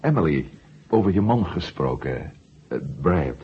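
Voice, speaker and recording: A discreet male family friend who feels his way through delicate questions. His voice is low and moves expressively, pausing and hesitating. It is a vintage radio drama recording with clear hiss. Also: sing-song. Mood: probing, earnest. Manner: hesitant, probing, with pauses. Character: Matt, probing, discreet man, family friend. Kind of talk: 1970 Dutch radio drama acting. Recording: muffled, hissy 1970 radio recording.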